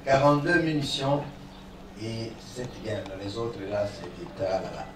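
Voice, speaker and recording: A man's voice: mid-range voice, lively intonation, unhurried. Echoey, distant man presenting courtroom evidence. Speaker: military prosecutor in court. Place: large indoor courtroom. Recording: echoey courtroom, distant mic, faint noise.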